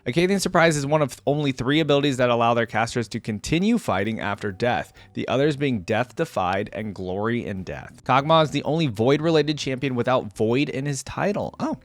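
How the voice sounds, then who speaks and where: Lively, chatty young man reacting. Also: mid-range voice, a little fast, few pauses, dry recording. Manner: chatty and musing, breaks off reading to react, chuckles. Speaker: reaction video host. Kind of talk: casual reaction commentary, reading a wiki aloud.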